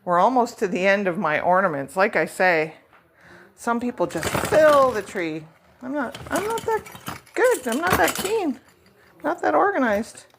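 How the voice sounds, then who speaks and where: A woman's voice: on the high side, big pitch swings, measured pace, background noise. A middle-aged woman chatting casually at home as a vlog host, rambling and self-deprecating while she decorates.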